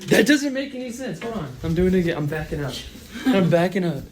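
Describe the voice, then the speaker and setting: A woman's voice: lowish voice, lively intonation, quick pace. A woman exclaiming in puzzlement while she watches something strange.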